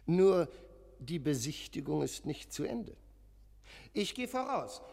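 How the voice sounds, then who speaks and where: An actor playing an agitated church sexton in a German radio play, speaking in halting, abruptly emphatic fragments. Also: male voice, fairly high pitch, sing-song, vocal fry, a little mellow, exaggerated voice. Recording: long echoing church-like reverb.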